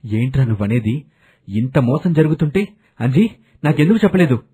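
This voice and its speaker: A man acts a stern village elder brother in an animated tale. The voice is very husky and rough, muted and dark, with a suspicious, commanding manner. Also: medium pitch, brisk. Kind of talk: animated moral story dubbing.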